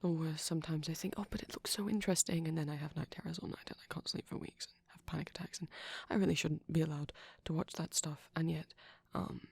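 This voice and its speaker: A man voicing a shy, gentle, nervous vampire boyfriend in an intimate ASMR roleplay, speaking softly and often whispering, hesitant and rambling, close to the microphone. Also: a little high, steady tone, fast talker, hardly any pauses, no echo. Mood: anxious, earnest. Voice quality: soft, breathy, often whispering.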